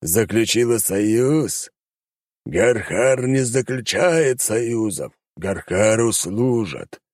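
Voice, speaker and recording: A man voicing a huge, boastful male demon who roars his threats slowly and drags out his words. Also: on the low side, expressive, darkish tone, dry recording, studio quality, voice pushed up. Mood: menacing. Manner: slow, drawn-out threatening roar. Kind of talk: audiobook character voice.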